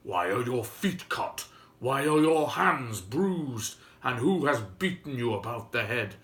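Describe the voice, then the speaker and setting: Man putting on a slow, booming storybook giant. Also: big pitch swings, fairly bright tone. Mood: roaring, outraged. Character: huge gruff male giant, softening from grumpiness to tenderness.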